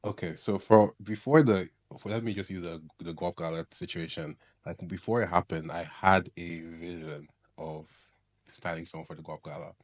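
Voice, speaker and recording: A young man from Ireland, a stylist guest chatting casually on a podcast, full of fillers and quick laughs. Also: sits low. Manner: laughs often, many "like" fillers, relaxed and self-mocking.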